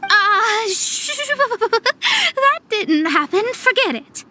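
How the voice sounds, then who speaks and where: A woman's voice: very high-pitched, sing-song, very slow, bright, forceful tone, heavily acted. A woman voicing a proud, self-important young master who lectures others in a video game. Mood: embarrassed. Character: proud, haughty young female master, easily flustered.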